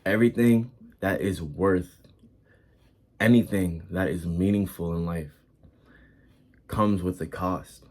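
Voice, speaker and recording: A man's voice: low-pitched, steady tone, takes it slowly, long pauses, darkish tone. A man in his twenties giving casual, earnest motivational advice, repeating himself and using casual slang. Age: 20s. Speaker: vlogger giving life advice.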